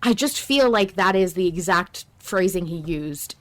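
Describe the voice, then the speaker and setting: A woman hosting a casual fan discussion of an audio drama, talking in an animated way and repeating phrases for emphasis. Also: lowish voice, a little slow. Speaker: podcast host.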